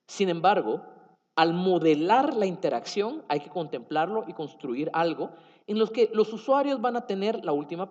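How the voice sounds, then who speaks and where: A man's voice: sits high, darkish tone. A man with a Guatemalan Spanish accent giving a tech conference talk, explaining with fillers and self-corrections. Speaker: conference speaker. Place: conference hall. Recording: dull sound in a reverberant hall.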